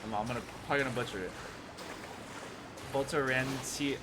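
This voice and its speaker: A man's voice: medium pitch, brisk, background noise. A man giving casual live commentary while streaming a video game. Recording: loud game music behind voice. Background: video game music and sounds.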